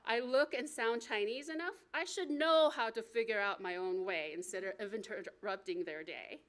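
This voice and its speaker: A woman with an American accent and a fairly high voice reads her own memoir aloud at a live book event. Her delivery is expressive and deliberate, like an author telling a personal story to listeners. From United States.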